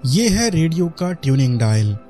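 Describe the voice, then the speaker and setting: A man's voice: on the high side, big pitch swings, normal speed, dark tone, faint music behind. A man with an Indian accent giving a product demonstration voice-over as a reviewer.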